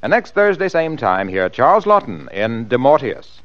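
An American man working as an announcer and sponsor pitchman in 1940s radio style. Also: very animated, normal speed, a little hiss or hum. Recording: dull old radio broadcast recording.

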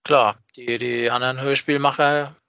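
A man's voice: medium pitch, flat delivery, moderate pace, creaky, sharp tone, clean tone, no echo. A man co-hosting a fan podcast, chatting casually and searching for words, often checking for agreement. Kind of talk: casual chat about a hobby production. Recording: slightly dull, dry close recording.

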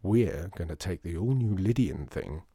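A man voicing a brisk, confident young man who runs the shop and gives orders. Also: low-pitched, expressive, dark tone, slightly rough, no room sound, studio quality, heavily acted.

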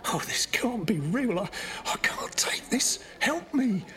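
An actor playing a desperate, bitter condemned prisoner in an audio drama, emotional and prone to stammering. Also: male voice, extremely high pitch, sing-song, slow pace, fairly bright tone, in a whisper, heavily acted. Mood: panicked, pleading.

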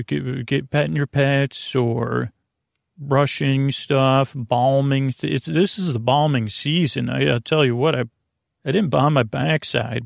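Male sleep-podcast host: creaky, gravelly delivery, dry close sound, lulling and goofy, wandering off on halting tangents. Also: medium pitch, no echo. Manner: meandering, halting, full of tangents. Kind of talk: rambling bedtime sleep-podcast monologue.

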